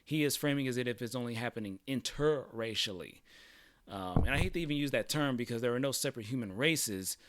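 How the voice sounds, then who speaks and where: A man's voice: mid-range voice, lively intonation, a little fast, fairly bright tone. A man hosting a livestream, reacting casually in a rambling, profane way, often repeating "you know what I'm saying.". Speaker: livestream host.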